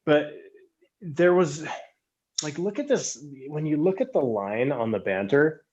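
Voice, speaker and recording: A man's voice: on the high side, animated, a little slow, a little mellow. A man, a guest on a podcast, talking in an easygoing, thoughtful, self-deprecating way. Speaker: knife designer guest. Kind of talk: casual podcast interview.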